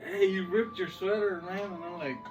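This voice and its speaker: A man's voice: high-pitched, steady tone, moderate pace. A man co-hosting a podcast, talking casually and off the cuff about films and games with slangy, profane, jokey banter. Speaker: podcast co-host. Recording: far from the mic, music behind.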